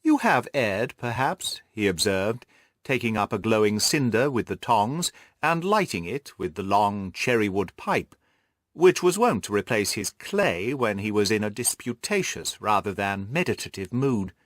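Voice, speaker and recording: A man's voice: lowish voice, animated, moderate pace, a bit bright, no room sound, clean studio sound. A man reading scripted literary narration as an audiobook narrator.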